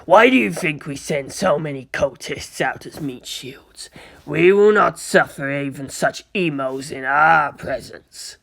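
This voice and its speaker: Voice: medium pitch, measured pace, creaky, a bit bright. A narrator voicing a villainous chaos warrior with a grinding, sneering, sarcastic delivery. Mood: disgusted.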